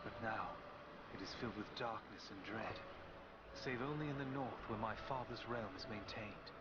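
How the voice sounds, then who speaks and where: A man's voice: on the low side, moderate pace, lo-fi sound, natural voice. A proud and earnest prince of the woodland elves, acted by a man for a fantasy video game. He speaks formally and poetically, with heavy ambient noise behind the dialogue. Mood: wistful.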